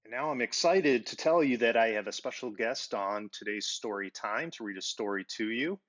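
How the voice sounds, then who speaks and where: A man's voice: medium pitch, moderate pace, fairly bright tone, muffled recording, soft background music. A middle-aged man hosting a children's story time, explaining things informally and directly to young pupils. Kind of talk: informal educational talk to children.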